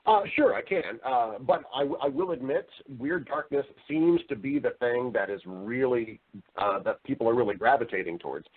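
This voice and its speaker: A man's voice: mid-range voice, moderate pace, slightly rough, phone-quality sound. Chatty man answering as interview guest. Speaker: guest, professional voiceover artist.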